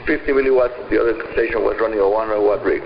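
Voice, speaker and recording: An older man in his seventies with a Cuban accent, a native Spanish speaker, hosting a scripted radio program for hobbyists with emphatic, deliberate enunciation, heard over shortwave radio. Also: fairly low pitch, creaky. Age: 70s. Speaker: radio show host. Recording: muffled, noisy shortwave radio reception.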